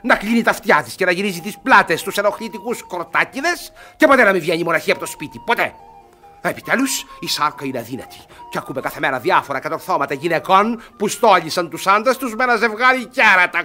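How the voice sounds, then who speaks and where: A grumbling, old-fashioned guardian in a stage comedy for radio. His voice is bright and forceful, pitched fairly high, with big swings of intonation. He lectures sarcastically and lays down the law with stubborn emphasis. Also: expressive, soft background music. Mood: indignant. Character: stern, grumbling, possessive older male guardian, old-fashioned. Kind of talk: Greek radio theatre comedy acting.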